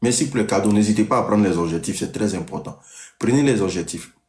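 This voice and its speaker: A man telling a story on a live stream, deliberately repeating his sentences and checking that listeners follow. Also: on the low side, a little mellow. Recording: echoey live-stream room sound.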